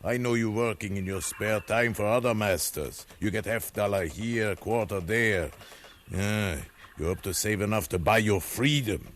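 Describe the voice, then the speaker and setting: An actor playing a gruff, scolding slave-owning ship captain with a soft side, blustering theatrically in 1950s radio drama. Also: male voice, fairly low pitch, unhurried, close to an everyday voice. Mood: grudging, wry. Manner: blustering, theatrical, punctuated with querying grunts. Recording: faintly noisy vintage radio recording.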